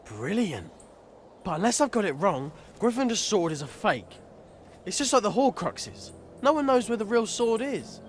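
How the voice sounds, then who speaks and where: Sullen teenage wizard, very high for a male, swinging intonation, sarcastic, video game drama. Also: sing-song, moderate pace, slight background noise.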